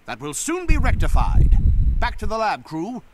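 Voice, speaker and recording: A cocky little gnomish inventor for a video game. He boasts like a showman in a high, very lively voice. The captured audio is heavily noisy and clicky. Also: moderate pace. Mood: determined. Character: cocky fast-talking small gnomish inventor, crew leader.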